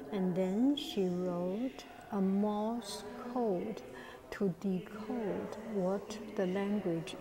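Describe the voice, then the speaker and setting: Slow, hushed woman touring a museum. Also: mid-range voice, low-quality recording. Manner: critical, hesitant, whispering at times. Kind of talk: casual spoken commentary. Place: indoor art museum gallery. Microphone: earphone mic, phone camera held at arm's length.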